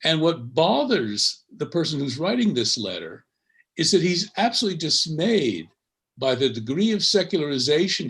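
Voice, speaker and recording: Older male academic, measured lecturing panelist, mid-pitched voice. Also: fairly bright tone. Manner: measured, lecturing, frequent uh fillers. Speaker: history professor.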